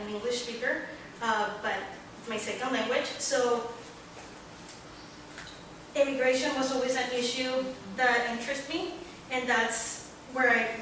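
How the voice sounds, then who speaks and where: A woman's voice: on the high side, steady tone, fairly bright tone. Young woman speaking far off in an echoey hall. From Mexico. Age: young adult. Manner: earnest, searching for words with many pauses. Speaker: student panelist. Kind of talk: panel talk at a book launch. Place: large echoey library hall. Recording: echoey hall, distant, room noise.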